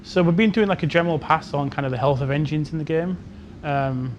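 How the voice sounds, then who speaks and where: A man's voice: fairly high pitch, brisk, dull audio, a little hiss or hum. A man speaking as a game developer on a panel, giving casual technical explanations with many hedges. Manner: hedging, frequent "you know".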